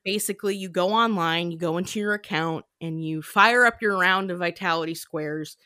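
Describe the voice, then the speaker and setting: A woman podcast host chatting casually, explaining things in an animated, giggly way. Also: on the low side, normal speed, no echo, clean studio sound. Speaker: podcast host and sketch creator. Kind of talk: casual chat.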